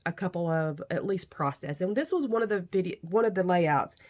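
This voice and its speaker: A woman hosting a crafting vlog, talking casually as she shows her handmade journal and layouts, her voice a bit nasal and rough from a cold. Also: on the low side, lively intonation, fairly quick. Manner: warm, gushing about handmade crafts. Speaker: crafting vlogger. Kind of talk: casual show-and-tell crafting chat.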